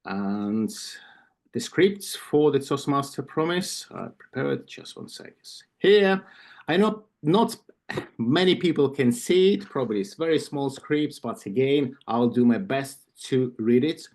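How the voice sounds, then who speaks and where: A man with a Ukrainian accent reading an induction script on a video call, slowly and carefully, with asides and small self-corrections. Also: mid-range voice, expressive, a little mellow. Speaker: club vice president of membership. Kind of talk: reading an induction ceremony script at an online club meeting.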